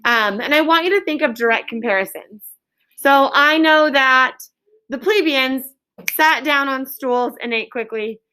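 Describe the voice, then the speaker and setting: A woman's voice: high-pitched, a little slow, pushed and bright, clear, resonant tone, low-quality recording. A woman teaching an elementary class in a question-and-answer style, directing pupils and repeating their answers back to confirm them.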